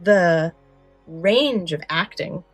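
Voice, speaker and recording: A woman's voice: lowish voice, lively intonation, drawn-out words, slightly rough, dry recording, faint music behind. A woman co-hosting a podcast in casual, enthusiastic chat, gushing and informal in her wording. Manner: informal, chatty, uses "like" often. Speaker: podcast co-host. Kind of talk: casual chat about films and scary stories.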